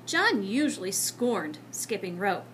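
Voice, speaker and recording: A woman reading a children's book aloud as an expressive narrator. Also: medium pitch, takes it slowly, slight background noise. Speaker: storybook reader.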